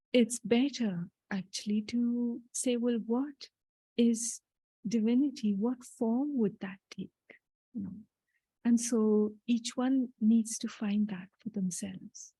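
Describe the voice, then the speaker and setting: A woman from Italy gives a guest talk on spirituality with a warm, mellow tone. Her speech is thoughtful and reassuring, dotted with "you know". The sound is close and dry, with no room around it. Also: medium pitch, husky, no echo, poor audio. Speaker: guest speaker. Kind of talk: answering audience question in a spiritual talk.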